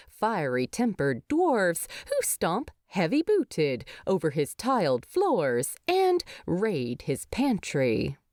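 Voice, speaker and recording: A woman reading scripted story narration in an expressive storytelling style. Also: lowish voice, no echo, clean studio sound. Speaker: fan fiction narrator.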